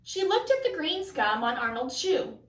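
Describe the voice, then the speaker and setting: A woman reading a children's story aloud as an expressive narrator. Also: sits high, normal speed. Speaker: storybook reader. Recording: echoey room, distant mic.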